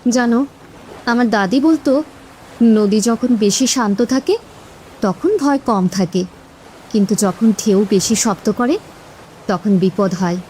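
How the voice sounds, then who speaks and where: A woman's voice: on the high side, slow, pauses often, dark tone, slight background noise, poor audio. A woman voicing a kindly, persuasive but easily frightened village wife in a horror cartoon. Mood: earnest. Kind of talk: Bengali horror cartoon dubbing.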